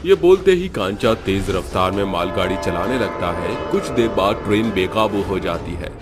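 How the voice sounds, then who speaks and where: A man's voice: deep voice, lively intonation, normal speed, over music. A man narrating a scripted horror cartoon story in a dramatic storytelling style.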